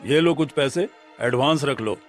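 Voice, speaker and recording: A man's voice: medium pitch, moderate pace, faint music behind, lightly acted. A man playing a middle-aged village headman, an authoritative father of the bride, in a Hindi cartoon story. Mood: businesslike.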